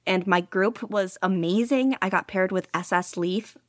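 A woman's voice: mid-range voice, a little slow, dry recording, clean studio sound. A woman guest on a casual podcast interview, talking eagerly and laughing often. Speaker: podcast guest, audiobook reader.

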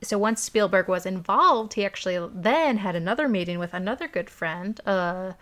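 A woman's voice: medium pitch, lively intonation, normal speed, fairly bright tone. A woman hosting a podcast, recounting a story in casual chat, full of "um"s and "like"s. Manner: chatty storytelling with many "um"s and "like"s. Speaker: podcast host.

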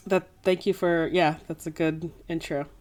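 A woman's voice: deep voice, steady tone, a little slow, darkish tone. A woman co-hosting a podcast in casual, playful, slangy chat, as if recapping a book for friends. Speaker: podcast co-host.

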